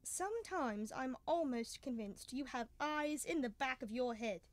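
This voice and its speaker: A woman's voice: high-pitched, animated, unhurried, no room sound. A young woman playing Doctor Watson, a genial, chatty male storyteller, in a radio drama. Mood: curious, eager. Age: young adult. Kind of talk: 1930s-style radio drama acting.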